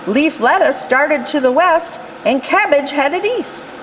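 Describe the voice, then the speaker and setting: A woman reading a rhyming picture book aloud in an expressive storytelling style. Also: high voice, takes it slowly, slight background noise, rough recording. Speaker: storytime reader.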